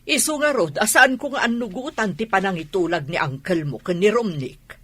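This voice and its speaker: A woman playing a haughty, fussy, expressive mother in an Ilocano audio drama. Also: mid-range voice, normal speed, slightly rough. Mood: concerned. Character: haughty, expressive mother, fussy about her son's match.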